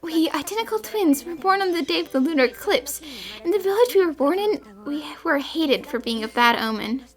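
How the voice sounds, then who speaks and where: Casting: gentle, sorrowful young sister for cartoon drama played by a woman, high soft voice, wistful delivery. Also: lively intonation, brisk. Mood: sad, wistful. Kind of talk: fan-dubbed cartoon acting.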